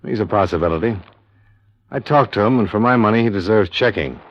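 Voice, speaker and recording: An American actor playing a brisk, skeptical freelance insurance investigator in a 1950s radio detective drama. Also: male voice, lowish voice, no echo, natural voice. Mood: skeptical, determined. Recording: muffled vintage radio recording, dry studio.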